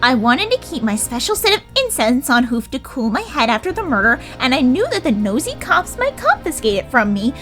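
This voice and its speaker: Scheming little lady sheep for a courtroom story, piercingly bright and very high, sulky and indignant. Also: very animated, a little fast, hardly any pauses. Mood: sulky, annoyed. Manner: indignant, flustered when challenged. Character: petulant, scheming little female sheep on trial. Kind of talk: animated courtroom story acting. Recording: music behind the voice.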